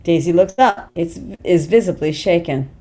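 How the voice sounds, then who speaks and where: A woman's voice: fairly low pitch, moderate pace, dark tone. A woman reading script directions in a plain, matter-of-fact way at a table read. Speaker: stage directions reader.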